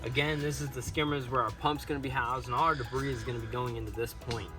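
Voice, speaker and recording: A man hosting a casual building vlog, explaining his outdoor job site work step by step in a relaxed, upbeat way. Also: medium pitch, quick pace, over music. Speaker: pond builder, show host.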